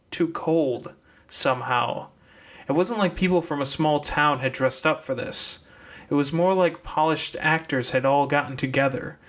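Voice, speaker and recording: A man's voice: medium pitch, a bit bright. A man narrating scripted fiction as an audiobook reader.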